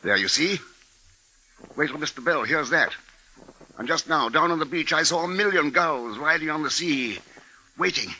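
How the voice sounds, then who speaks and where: An actor playing an anxious writer who narrates with measured, dramatic deliberation in a 1950s radio drama. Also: male voice, a little high, expressive, pushed and bright, exaggerated voice, rough recording. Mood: uneasy. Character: anxious writer narrating a seaside bird menace. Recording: old 1950s radio recording with music.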